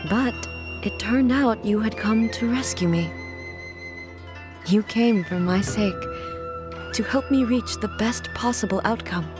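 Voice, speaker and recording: A woman's voice: medium pitch, measured pace, long pauses, over music, natural voice. A woman playing a reserved, earnest immortal ruler in a video game, speaking formally and with restraint. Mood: grateful. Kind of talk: English video game voice acting.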